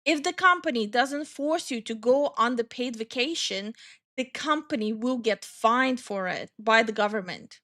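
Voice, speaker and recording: A woman's voice: fairly high pitch, a little slow, pushed and bright. A woman from Estonia hosting a casual live stream, ranting heatedly, swearing often, and greeting viewers in chat. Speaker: streamer and channel host.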